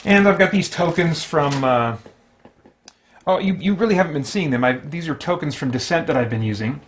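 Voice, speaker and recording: A man's voice: fairly high pitch, moderate pace, slight background noise. A man hosting a solo tabletop gaming stream, giving casual running commentary and thinking aloud as he talks through each move. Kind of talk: casual running commentary while playing a board game.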